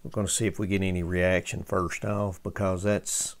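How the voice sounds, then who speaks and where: A man with a low, gravelly voice talks through a hands-on repair job. He is folksy and casual, pausing often as he thinks aloud. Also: little pitch movement. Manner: folksy, halting, thinking aloud while working. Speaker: host of a vintage radio restoration channel.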